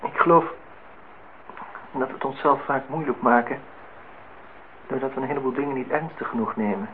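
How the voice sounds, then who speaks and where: A man's voice: mid-range voice, moderate pace, close to an everyday voice. Actor as a reflective man, tinny old recording. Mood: pensive. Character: polite, reflective, wistful male office worker. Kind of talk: Dutch radio drama acting. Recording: tinny, band-limited old radio recording, faint noise.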